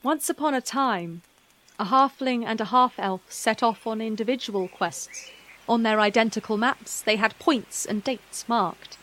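A woman narrating a scripted fantasy story in a measured, dramatic storytelling manner. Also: on the high side, a bit bright.

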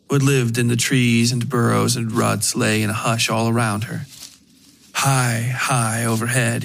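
A man reading dark fiction as a scripted narration, in a measured way with dramatic pauses. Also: mid-range voice, gravelly, slight background noise. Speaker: narrator of a horror fiction podcast.

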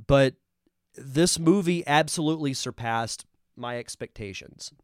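A man's voice: mid-range voice, unhurried, dry recording, studio quality. A man with a congested voice hosting a live podcast, chatting casually and enthusiastically as he reviews a film. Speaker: podcast host.

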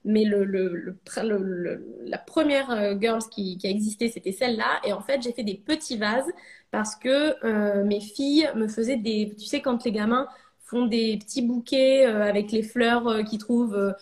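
A woman's voice: a little high, normal speed, darkish tone. A French woman in her thirties, a ceramic artist, talking casually in a podcast interview, hesitant with many fillers and restarts. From France.

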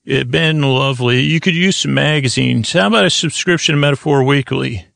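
Creaky-voiced man rambling sleepily. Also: mid-range voice, fairly bright tone, no room sound, studio quality. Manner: meandering, halting, absurdist one-sided conversation with an imagined doctor. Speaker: podcast host. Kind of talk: rambling improvised bedtime comedy monologue.

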